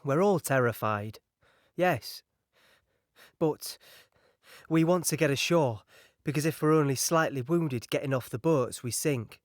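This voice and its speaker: Earnest British soldier, acted by a man, higher-pitched. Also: unhurried, dry recording, clean studio sound, lightly acted. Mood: frightened. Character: British soldier recounting D-Day, earnest, fearful, thoughtful. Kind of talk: dramatic first-person monologue in audio play.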